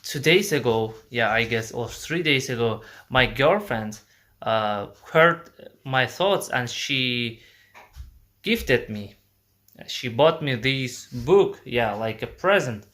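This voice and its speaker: A young man from Kazakhstan with a Kazakh accent, talking to camera in a casual monologue, halting and restarting often. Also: medium pitch, animated, sharp tone. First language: Kazakh and Russian. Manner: halting, many restarts and fillers. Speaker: vlogger.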